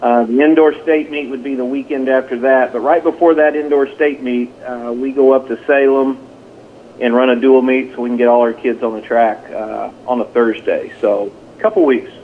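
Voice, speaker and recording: A man speaks over a narrow, tinny telephone connection with faint noise. He is a coach being interviewed casually, and he talks in a plain, folksy way at an average pitch. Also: steady tone, normal speed. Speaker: high school track and field coach. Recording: tinny phone line with noise.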